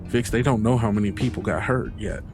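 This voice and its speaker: A man plays a neighborly country woman in a roleplay podcast. The phrasing is folksy and rueful, with hesitant repeats, lifted somewhat from a low natural register. Music runs underneath. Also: brisk, close to an everyday voice. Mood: troubled, rueful. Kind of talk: improvised in-character tabletop roleplay.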